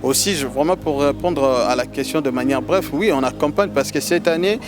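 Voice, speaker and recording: A man's voice: a little high, moderate pace. Hesitant Congolese man over music. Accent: Congolese French. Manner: hesitant, many filler sounds and word repeats. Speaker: film festival organizer. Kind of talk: interview answers.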